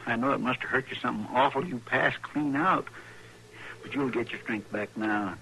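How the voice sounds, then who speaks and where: An actor playing a loyal, stubborn frontier deputy with a rural Western American accent and folksy, ungrammatical talk, in an old-time radio western. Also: male voice, medium pitch, moderate pace, sharp tone. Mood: earnest, plain. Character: loyal, stubborn frontier deputy, plain-spoken country man. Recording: noisy vintage radio drama recording.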